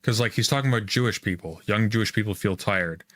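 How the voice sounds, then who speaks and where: A man's voice: fairly low pitch, fast talker, no room sound. A man giving casual reaction commentary on a video.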